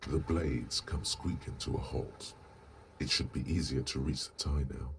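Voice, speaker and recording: An actor voicing a grave, brooding inner voice that narrates a detective game in a deliberate, ominous, literary way. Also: male voice, extremely low pitch, steady tone, gravelly, a little hiss or hum, heavily acted. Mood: measured, observant.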